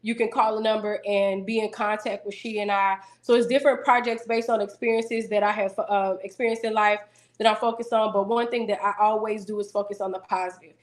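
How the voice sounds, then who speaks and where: A woman singer interviewed as a guest, talking casually in a self-assured, businesslike way with a deeper voice. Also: little pitch movement, fairly quick. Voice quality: deeper singing voice.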